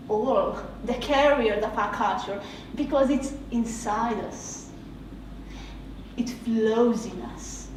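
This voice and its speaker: Hungarian-accented woman, slow heartfelt essay, boomy echo, mic kinda far off. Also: a little mellow, a little hiss or hum. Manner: slow, measured, heartfelt delivery. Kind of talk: reflective spoken essay. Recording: very echoey room, mic at a distance.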